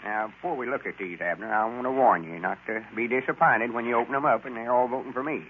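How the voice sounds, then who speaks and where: An actor playing a self-important, grumbling older country storekeeper with a Southern accent and a folksy drawl in a radio comedy. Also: male voice, medium pitch, quick pace, natural voice. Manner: pompous, folksy rustic drawl. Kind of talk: 1930s-40s radio comedy acting. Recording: tinny, hissy old radio recording.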